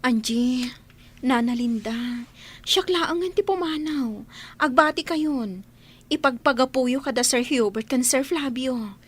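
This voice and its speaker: High, husky young housemaid. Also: female voice, slow, pauses often, heavily acted. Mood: resolute, sad. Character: young housemaid, Felisa, selfless and resolute. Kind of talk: Filipino radio drama acting.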